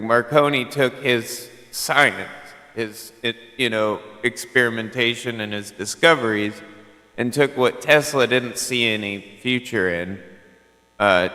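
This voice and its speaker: A man's voice: on the low side, bright, forceful tone, in a large hall. A man chatting casually on a CB radio channel, rambling and filling his talk with "like" and "you know". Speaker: CB radio caller.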